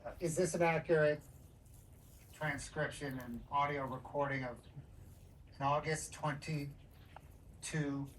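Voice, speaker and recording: A man's voice: fairly high pitch, steady tone, slow pace, long pauses. A man speaking as a prosecutor, formally addressing the court and questioning a witness. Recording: noisy courtroom audio, distant mic.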